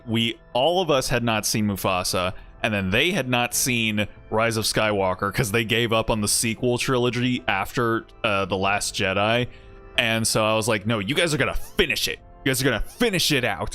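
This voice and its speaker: A man's voice: fairly low pitch, pushed and bright, clear, resonant tone. A man chatting casually on a game stream, rambling and cutting himself off, with frequent laughs. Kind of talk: casual game stream chat, reading game text aloud.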